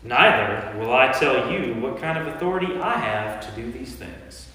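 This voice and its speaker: A man playing a commanding teacher and healer in a staged Bible reading, speaking with deliberate authority. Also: medium pitch, animated, pushed and bright. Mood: stern. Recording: very echoey church hall.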